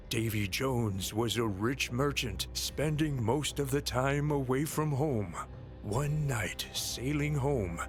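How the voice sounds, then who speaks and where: A man playing a solemn narrator who tells a dark pirate legend in a measured, dramatic scripted style. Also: mid-range voice. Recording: music under the narration.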